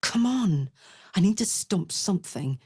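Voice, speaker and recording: A woman's voice: mid-range voice, animated, measured pace, darkish tone, husky, no echo, clean studio sound. Brash, battle-hardened fantasy warrior woman. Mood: fired up, eager. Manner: blunt, slangy, swearing, swaggering. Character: brash, battle-hardened, big-hearted tiefling barbarian woman. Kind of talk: video game voice acting.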